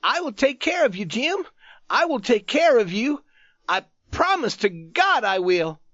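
A man's voice: very high voice, a little slow, voice pushed up, exaggerated voice. A man voicing an anguished young soldier in a storybook reading, crying out in a strained, frantic and tearful voice. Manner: excited, repeating, pleading. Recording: roomy, dull recording.

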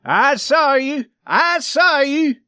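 A man voicing a furious, half-mad Swede in a story, shouting and frantically repeating himself. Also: extremely high pitch, lively intonation, takes it slowly, no echo, clean studio sound, pitched above the performer's own voice, heavily acted. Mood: insistent.

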